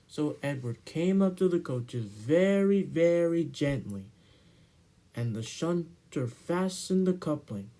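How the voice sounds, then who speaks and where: A man narrating a children's storybook aloud in an amateur, expressive read-aloud style. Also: on the high side, slow.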